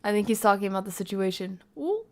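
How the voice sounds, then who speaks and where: A woman's voice: medium pitch, animated, fast, darkish tone. Sardonic woman streamer, casual commentary. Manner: sarcastic, teasing asides. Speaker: streamer reacting to a video.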